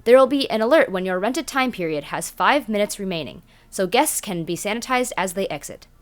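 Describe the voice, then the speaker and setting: A woman's voice: medium pitch, animated, a little fast, natural voice. A woman voicing a polite, detached automated announcer in an audio drama, formal and instructional.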